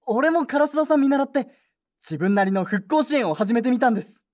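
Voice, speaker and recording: A woman's voice: sits high, animated, brisk, a little mellow. A woman playing an earnest, polite teenage boy in a Japanese audio drama. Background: background music.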